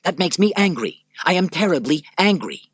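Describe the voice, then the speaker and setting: A man voicing an unstable young emperor, with a high, strained voice that builds to angry outbursts. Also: big pitch swings, moderate pace, slightly rough, no echo, exaggerated voice. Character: young male emperor, unstable, seething rage.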